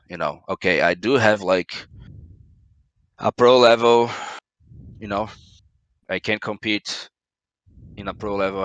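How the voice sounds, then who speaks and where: Brazilian male gamer, very low voice, dry home recording, thoughtful and halting. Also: a bit bright, clear, resonant tone, dry recording, low-quality recording. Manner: thoughtful, searching for words, many fillers. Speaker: pro esports player guest. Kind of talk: casual interview over voice chat.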